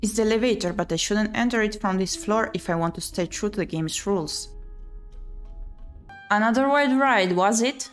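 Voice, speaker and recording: Female game streamer, mid-pitched, theatrical story narration over music. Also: normal speed. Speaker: streamer playing and voicing a visual novel. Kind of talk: dramatic read-aloud narration.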